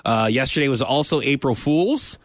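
A man's voice: mid-range voice, animated, moderate pace. A man hosting a radio show, chatting casually, often stammering and breaking into laughs.

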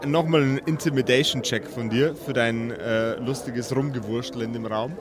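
A man's voice: mid-range voice, steady tone, normal speed, crowd noise. A man narrating and refereeing an improvised tabletop roleplaying game as game master.

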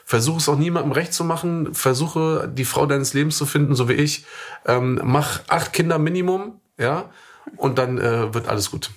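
Husky-voiced man, relaxed podcast talk. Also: fairly high pitch, normal speed. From Germany. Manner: relaxed, reflective, many fillers and affirmations. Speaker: podcast co-host. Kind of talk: casual conversational podcast.

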